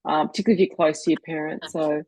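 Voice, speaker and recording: A woman with a fairly low voice, hosting a relaxed conversational interview. She is effusive and affirming, and the sound is dry, without room echo. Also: very fast. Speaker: interviewer, host.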